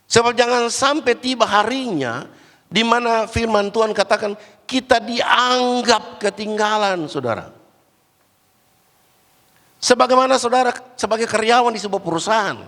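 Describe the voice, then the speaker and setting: A man preaching a Pentecostal sermon while fighting a cold and cough, swinging between loud, raised exhortation and low, quiet asides. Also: vocal fry. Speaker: guest preacher. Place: large church hall. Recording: church hall with long reverb.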